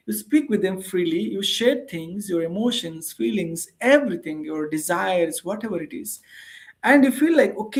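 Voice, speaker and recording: Indian English accent, native Telugu speaker, tutor, casual conversation, earnest, explanatory, rephrases often. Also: mid-range voice. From Andhra Pradesh, India.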